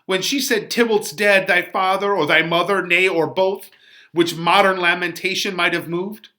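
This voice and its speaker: A man performing a distraught, passionate teenage bride in a melodramatic, drawn-out Shakespeare reading. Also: sits high, steady tone, sharp tone. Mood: hysterical grief.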